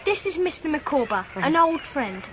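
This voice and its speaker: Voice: sits high, sing-song, normal speed, fairly bright tone. A young performer with an English accent playing a polite, well-bred schoolboy in a radio drama. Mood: polite, eager. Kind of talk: 1990s British radio drama acting. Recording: noisy radio drama recording.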